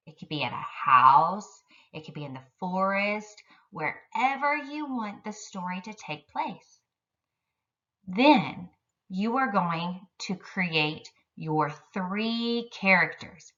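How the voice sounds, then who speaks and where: A woman's voice: medium pitch, fairly bright tone, recorded from a distance, dull audio. A woman elementary school teacher giving an instructional lesson to young children in careful, simple phrasing.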